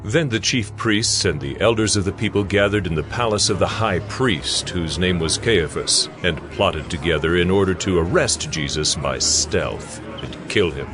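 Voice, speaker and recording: A man narrating scripture from a script in a measured, solemn way. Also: sits low, expressive. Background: music.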